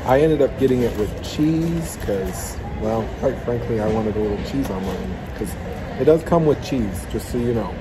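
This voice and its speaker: A man's voice: mid-range voice, expressive, normal speed. A man in his thirties doing a casual food review vlog, chatting and humming between bites while he eats. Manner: chatty, eating between lines with satisfied hums. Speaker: food vlogger. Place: indoor restaurant dining room. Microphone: phone held at arm's length. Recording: phone audio with clear restaurant noise. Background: restaurant noise.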